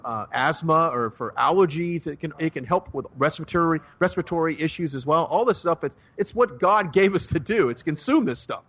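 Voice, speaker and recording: A chatty man hosts a radio talk show, tossing out friendly questions with plenty of filler. His voice sits a little high for a man, and the broadcast sound is muffled and lo-fi. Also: fairly bright tone. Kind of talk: radio interview.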